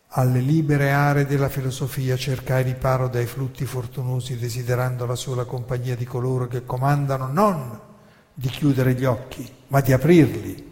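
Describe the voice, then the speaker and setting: A man's voice: mid-range voice, darkish tone, lightly acted. A man reciting as a defiant, learned exiled philosopher in a solemn, oratorical stage monologue. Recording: long hall reverb.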